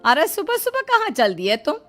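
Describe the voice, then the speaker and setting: A woman's voice: high-pitched, huge pitch swings, moderate pace, a bit bright, heavily acted. Woman doing a middle-aged cartoon mother-in-law, encouraging and money-minded, light music behind. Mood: pleased, approving. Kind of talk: cartoon family drama dialogue. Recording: music bed under the voice.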